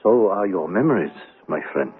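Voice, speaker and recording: An elderly club gentleman in a radio play, dry, courteous and fond of wise sayings. He speaks formally and deliberately, with animated rises and falls, through the thin sound of an old radio broadcast. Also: sits low, husky, phone-quality sound, full character voice. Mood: grave, thoughtful. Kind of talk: radio drama acting.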